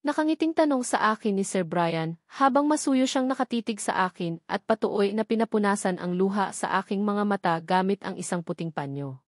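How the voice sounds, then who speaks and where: A woman's voice: mid-range voice, expressive, a little fast, no room sound, studio quality. A woman with a Filipino accent reading a first-person romance story as a scripted audiobook narration.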